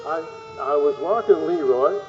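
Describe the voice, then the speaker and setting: A man playing a hesitant local eyewitness interviewed on the scene in a radio drama, repeating himself haltingly. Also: a little high, muffled recording, natural voice. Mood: nervous. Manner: hesitant, repetitive. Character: hesitant male eyewitness, a dog walker. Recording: distant echoey phone, sirens and loud noise.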